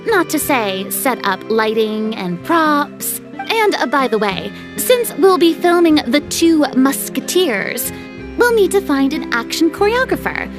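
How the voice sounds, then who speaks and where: A woman's voice: high-pitched, big pitch swings, a little slow, music in the background. A woman playing a proud, theatrical young star turned film director in a video game, haughty and self-important. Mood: businesslike, pensive.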